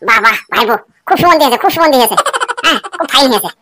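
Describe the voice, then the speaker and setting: Woman acting a nosy, bawdy cartoon uncle, bright-toned. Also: high-pitched, lively intonation, rapid-fire, soft background music, heavily acted. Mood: mock sympathy. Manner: prying, coarse, slangy. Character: nosy, bawdy widowed older village uncle. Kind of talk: cartoon comedy dialogue.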